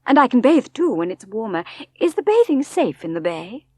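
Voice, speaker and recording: A woman's voice: on the high side, big pitch swings, normal speed, dark tone, no echo, pitched above the performer's own voice. A woman voicing a nervous young English wife in an audiobook, hesitant and anxious to please. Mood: flustered, eager.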